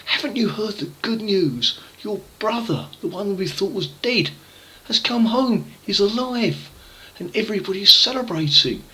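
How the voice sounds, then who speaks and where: A man voicing an excited farm servant in a told parable, eagerly sharing news with emphasis. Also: high-pitched, unhurried, pitched above the performer's own voice, full character voice, poor audio. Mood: excited, joyful.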